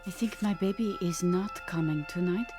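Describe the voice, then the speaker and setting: An actress playing a troubled expectant mother in a radio drama, earnest, formal and gently hesitant. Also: fairly low pitch, normal speed, natural voice. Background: faint music.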